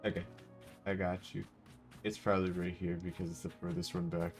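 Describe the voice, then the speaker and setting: A man's voice: low-pitched, level tone, brisk. A man streaming a game with casual live commentary, musing aloud and echoing the game's lines. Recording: game music behind the voice.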